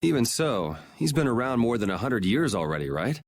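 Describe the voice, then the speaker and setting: A man's voice: mid-range voice, very animated, a little fast. An actor playing a casual, cool-headed young swordsman in a game, with a wry, laid-back manner. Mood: curious, wry. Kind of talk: English game dub acting.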